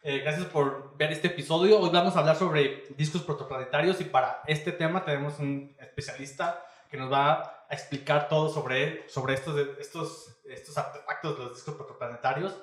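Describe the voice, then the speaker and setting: A man's voice: fairly high pitch, fairly even intonation, moderate pace, reverberant. A man hosting a science podcast, greeting viewers and asking chatty, friendly questions. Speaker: podcast host. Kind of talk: casual interview hosting.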